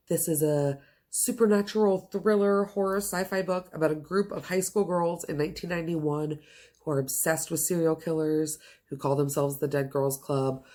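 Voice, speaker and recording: Low-pitched woman, gushing casual book chat. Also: darkish tone. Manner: gushing, enthusiastic, emphatic. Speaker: book reviewer and video host.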